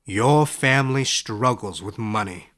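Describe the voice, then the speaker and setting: A man playing a skeptical but kindly professor in a storybook, with a dry, measured, gently lecturing manner. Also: fairly low pitch. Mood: probing. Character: wry, skeptical, kindly male professor, unhurried and quick-witted. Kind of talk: storybook character voice in audiobook narration.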